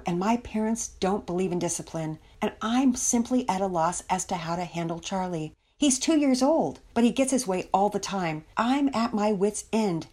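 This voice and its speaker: Woman putting on a pleading, lively-pitched young mother. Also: close to an everyday voice. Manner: hesitant, apologetic. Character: embarrassed, pleading young mother of a willful toddler.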